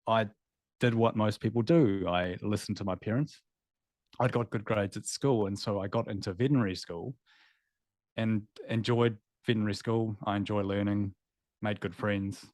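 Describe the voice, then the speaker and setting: Kiwi guy in his 30s, lowish voice, candid and reflective, dry close mic. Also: fairly even intonation, moderate pace, darkish tone, no echo. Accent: New Zealand. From New Zealand. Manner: candid, reflective, with filler words. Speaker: guest, former veterinarian. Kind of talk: podcast interview storytelling.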